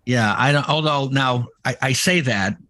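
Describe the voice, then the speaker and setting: A middle-aged man co-hosting a podcast, chatting casually in a boisterous, loud way and telling stories with quoted asides. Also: mid-range voice, moderate pace. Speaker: podcast co-host. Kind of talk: casual chat.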